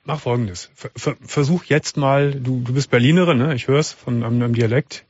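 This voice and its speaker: Guy hosting a late night call-in radio show, husky, kinda muffled sound, talks straight. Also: medium pitch, moderate pace, dry recording. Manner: direct, calm, advising with many restarts. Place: radio studio.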